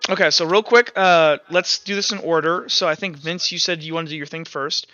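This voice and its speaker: Male game master, high bright voice, animated improvised narration, slightly muffled audio. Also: fairly quick, soft background music.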